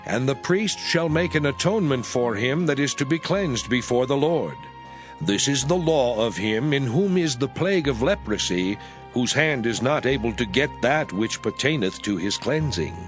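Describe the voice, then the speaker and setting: A man narrating scripture in a measured, expressive reading. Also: mid-range voice, music in the background.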